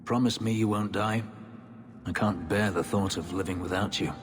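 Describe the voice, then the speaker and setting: An actor playing a brooding, bitter elf warrior who was once a slave, speaking in a grave, measured way. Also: male voice, fairly even intonation, a little hiss or hum, lightly acted. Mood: tender. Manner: low, grave, measured delivery. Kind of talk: video game voice acting. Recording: long reverb under game music.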